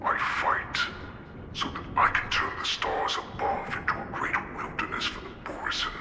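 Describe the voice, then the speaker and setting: An actor voicing a menacing, predatory wolf-beast warlord in a video game, with a breathy growling rasp and grandiose delivery. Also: male voice, moderate pace, natural voice. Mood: taunting menace. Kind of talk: dramatic video game acting. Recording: echoing voice under loud rumbling noise.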